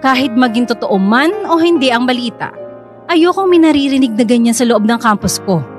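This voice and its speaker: Stern, high-pitched woman acting a school principal. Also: lively intonation, fairly quick, soft background music, close to an everyday voice. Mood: stern, disappointed. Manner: formal, reproachful. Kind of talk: melodramatic radio drama acting.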